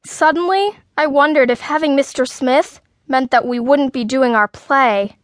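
A woman's voice: sits high, unhurried, no room sound, studio quality. A woman reading a children's story in the first person, as an indignant, outspoken sixth-grade girl. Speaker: audiobook narrator.

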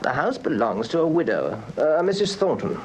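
An actor playing a brisk, businesslike police inspector in a radio crime drama, with a British accent and methodical, matter-of-fact delivery. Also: male voice, fairly high pitch, big pitch swings, darkish tone, slight background noise, rough recording. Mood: factual, methodical. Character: brisk, businesslike male police inspector, deferential to his chief. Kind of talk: full-cast radio crime drama acting.

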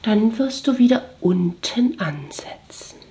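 Woman performing a haughty dominant mistress, slow deliberate pace, swooping intonation, condescending, breathy whispers, audio drama. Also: medium pitch, lively intonation, warm tone, echoey room. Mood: commanding, sensual. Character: haughty dominatrix mistress commanding her servant. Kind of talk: scripted German audio drama monologue, intimate.

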